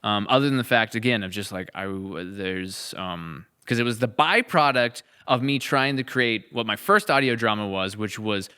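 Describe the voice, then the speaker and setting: Bright-voiced man thinking aloud. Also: on the low side, normal speed, hardly any pauses, clean tone. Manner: rambling, thinking aloud, emphatic. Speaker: audio drama writer and podcast co-host. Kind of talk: casual reflective podcast conversation.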